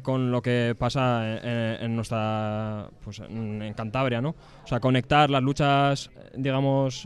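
A man with an accent from Spain giving informal interview answers as a small-press publisher, hesitant and careful with many pauses. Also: medium pitch, clean tone.

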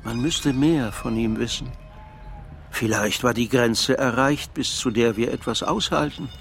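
An actor playing a reflective, philosophical man in a radio crime drama, arguing in a pensive, articulate and measured way. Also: medium pitch, vocal fry, fairly bright tone, slight background noise. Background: faint background music.

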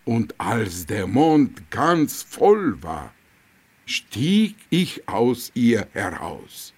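An actor playing a serene holy master of compassion in a radio drama, solemn and measured, with long pauses. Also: male voice, medium pitch, sing-song, breathy edge, heavily acted. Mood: serene solemnity.

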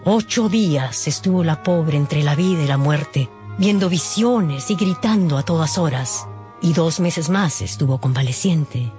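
A man's voice: a little high, measured pace, a little mellow, soft background music. A man narrating a scripted radio drama as a storyteller.

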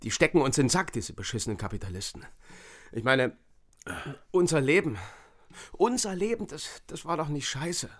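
A disgraced East German officer in a radio drama. His highish voice swoops around as he stammers, maudlin and agitated, full of bitterness and self-pity. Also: lively intonation, normal speed. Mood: indignant, maudlin. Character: bitter, drink-seeking fallen East German officer, self-pitying, volatile. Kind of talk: radio crime drama acting.

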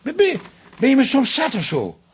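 An actor playing a tired, blunt, bewildered husband in a radio drama, middle-aged. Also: male voice, very high voice, huge pitch swings, normal speed, slight background noise, heavily acted. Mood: exasperated, baffled. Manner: blunt, grumbling, tactless.

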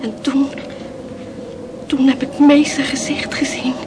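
An actress playing a frightened, lovesick young woman in a radio drama, halting and haunted, on a noisy old recording. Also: high-pitched, flat delivery. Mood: haunted dread. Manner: haunted, halting, hushed storytelling. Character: frightened young woman pining for her sailor sweetheart. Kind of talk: dramatic radio play acting.

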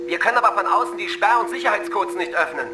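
Echoing high-voiced program calling in. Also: male voice, expressive, quick pace. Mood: businesslike. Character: brisk male antivirus program calling in. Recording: echoing transmission, noisy ambience.

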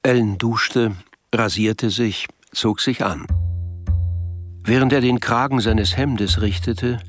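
A man's voice: lowish voice, steady tone, slow, soft background music. A man reading scripted literary narration for a radio play.

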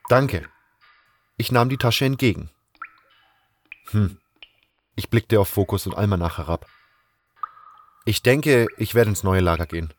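A man's voice: fairly low pitch, lively intonation, unhurried, pauses often. A man playing an earnest, brooding adventurer who tells his own story in a fan audio drama. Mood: resolved, subdued. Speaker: narrator and lead actor in a fan audio drama. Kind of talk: first-person dramatic narration and dialogue.

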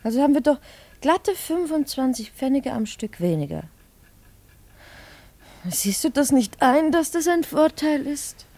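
An actress in a German radio play playing a cheerfully stubborn, soft-hearted wife, chatty, coaxing and excitable. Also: female voice, high voice, a little slow, pauses often, exaggerated voice. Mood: cheerful, persuading.

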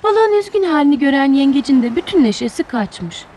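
A woman tells a children's fairy tale in a high voice. Her delivery is expressive and very melodic, with faint noise behind her. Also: brisk. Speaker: storyteller.

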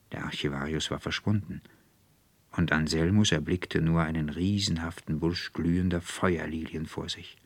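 A man with a standard German accent narrating a literary fairy tale in a measured, dramatic, precise manner. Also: low-pitched, no room sound. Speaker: audiobook narrator.

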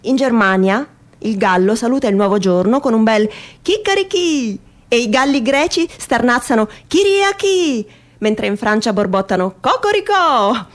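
A woman performing a theatrical reading of a humorous first-person essay in a wry, mock-earnest and expressive manner. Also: fairly high pitch, moderate pace.

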